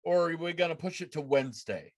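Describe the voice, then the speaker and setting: Higher-voiced man in casual podcast banter. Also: expressive, quick pace. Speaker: podcast co-host. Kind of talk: casual gaming chat on a live stream. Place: indoor room at home, on a video call.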